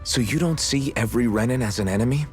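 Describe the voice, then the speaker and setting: An actor playing an earnest, questioning young freedom fighter in a video game, unsure of himself. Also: male voice, medium pitch, quick pace, faint music behind, lightly acted. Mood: puzzled, uncertain.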